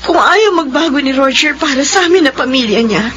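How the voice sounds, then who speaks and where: High-pitched long-suffering Filipino wife. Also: female voice, animated, brisk, a bit bright, a little hiss or hum. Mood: weary, tearful. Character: hardworking long-suffering wife and mother, hurt, resolute. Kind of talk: Filipino radio drama acting.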